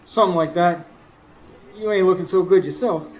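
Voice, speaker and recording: A man's voice: high voice, normal speed, lightly acted, low-quality recording. A man acting as a weary, anxious working husband in a radio drama. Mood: weary, musing. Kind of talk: radio drama acting with first-person narration. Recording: faint background noise, live stage.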